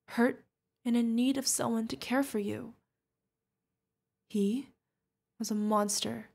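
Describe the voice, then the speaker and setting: A woman's voice: fairly high pitch, gravelly, darkish tone. A woman playing a fierce yet tender witch mentor who is protective of an adopted pupil, in an emotional fantasy audio roleplay. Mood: gentle, soothing. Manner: emotional, commanding, affectionate, pleading. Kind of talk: ASMR fantasy roleplay acting.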